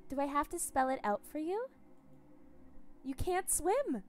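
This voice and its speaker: A cruel cannibal woman, as a streamer puts her on, bright and sneering, with a raised, sing-song lilt that turns every sentence into a taunt. Her voice sits a little higher than a plain speaking voice, and it stays loud and mocking. Faint music plays in the background. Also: sing-song, unhurried. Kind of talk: voice acting a video game on stream.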